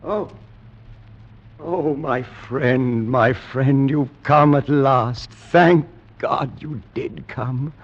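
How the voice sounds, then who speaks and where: An actor playing a frail, feverish, haunted nobleman in theatrical old-time radio horror style, halting and frayed. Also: medium pitch. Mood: overwhelmed relief. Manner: theatrical, halting, swinging between weary and frenzied. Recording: muffled vintage radio recording.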